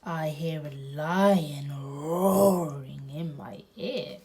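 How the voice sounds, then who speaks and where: Very slow, sing-song woman reading aloud. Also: low-pitched, dark tone. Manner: sing-song, drawn-out delivery for small children. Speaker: children's read-aloud host. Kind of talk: expressive picture-book read-aloud.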